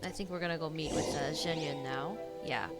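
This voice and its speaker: A woman with a low voice reacts casually to a game as she streams it. Her commentary is offhand and conversational, with loud game music behind her. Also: fairly even intonation, a little fast. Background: video game music.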